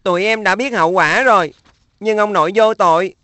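A woman's voice: medium pitch, a little fast, fairly bright tone, no room sound, natural voice. A woman voicing an earnest, respectful young boy in a cartoon dub. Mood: pleading. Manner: earnest, childlike.

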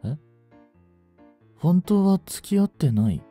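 Man acting lovestruck young swindler, swooping pitch. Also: very animated, slow pace, warm tone, dry recording, faint music behind, full character voice. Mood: dejected, confused. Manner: polite speech, stammering when flustered. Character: young male genius swindler, lovestruck, jealous, boyishly flustered. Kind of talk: romantic audio drama acting, addressed to the listener.

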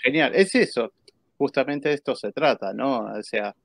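A man's voice: mid-range voice, normal speed, creaky, a bit bright, dry recording, clean studio sound. An Argentine man in his forties hosting a casual interview chat. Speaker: host. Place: indoors.

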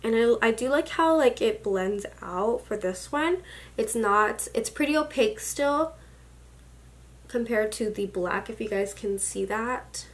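A young woman in her twenties talks through a makeup haul in a casual, gushing way, peppering her talk with fillers and excited exclamations. She is recorded indoors in a small home room, sitting at a desk a little way from the camera. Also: on the high side, measured pace. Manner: gushing, chatty, with many likes and exclamations. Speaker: beauty vlogger. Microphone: camera at desk distance.

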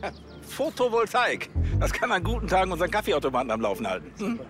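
Pompous, animated police chief. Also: male voice, sits high, quick pace, music in the background. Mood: impatient, pompous. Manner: pompous, punctuated with "ja?" and "nicht?". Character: self-important, jovial, blustering male police chief. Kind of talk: TV crime comedy acting.

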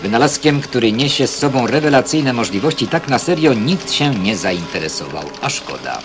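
A man's voice: medium pitch, very animated, normal speed. A man reading scripted newsreel narration with a wry touch. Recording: old film newsreel soundtrack with music. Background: music.

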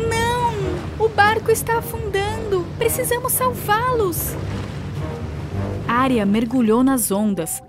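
A woman narrating a children's fairy tale in a clear, scripted storytelling manner. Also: on the high side. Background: background music.

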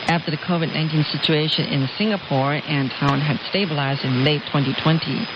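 A woman's voice: sits low, fairly even intonation, brisk. A woman radio presenter reading scripted news. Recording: hissy, noisy, dull shortwave radio reception. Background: loud static hiss.

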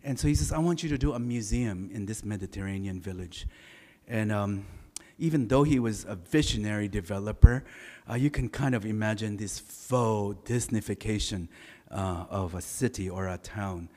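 A middle-aged man with a lowish baritone speaks at a podium microphone, relaxed and anecdotal, often pausing on "uh" and "um". His voice carries a long reverb from a large lecture hall. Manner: relaxed, anecdotal, many filler sounds. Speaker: architect giving a lecture. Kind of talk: conversational design lecture.

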